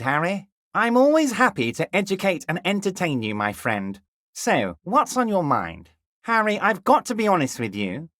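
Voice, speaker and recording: A smug scheming union boss. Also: male voice, dry recording, clean studio sound. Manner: smooth and ingratiating, drops low and soft on pet names; blunt and crude at times. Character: scheming jovial male union boss, middle-aged. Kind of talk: video game character dialogue.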